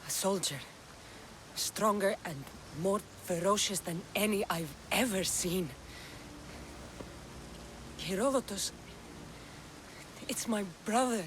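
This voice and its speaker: A woman's voice: mid-range voice, slow, pauses often, background noise, lightly acted. An actress playing a fierce, stubborn young Greek mercenary woman in a video game cutscene, speaking tersely and intensely. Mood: grave.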